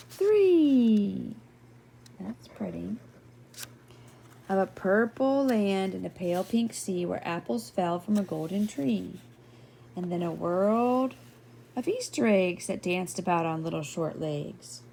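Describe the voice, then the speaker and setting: Older female storyteller, slow and gentle children's read-aloud, fry in the voice, homemade recording with a steady bed of noise. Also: medium pitch. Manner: gentle, playful, addresses young listeners with questions and asides. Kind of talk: expressive children's picture-book reading with asides.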